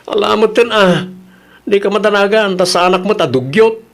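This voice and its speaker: An actor with an Ilocano Filipino accent playing a lazy, cheeky younger brother in an audio drama, whiny and nonchalant. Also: male voice, high-pitched, moderate pace, creaky. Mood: pleading, cheeky. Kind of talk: colloquial audio drama acting.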